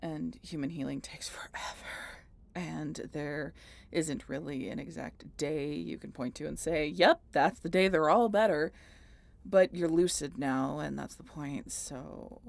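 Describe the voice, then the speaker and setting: Woman voice acting a centuries-weary vampire lady, creaky slow voice, guarded and sarcastic, swears, intimate audio drama. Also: sits low, exaggerated voice. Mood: awkward, reluctant. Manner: halting, sardonic, casually profane. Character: reluctant century-old female vampire, sardonic, guilty, guarded, profane. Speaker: audio roleplay voice actor. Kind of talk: intimate scripted audio roleplay addressed to the listener.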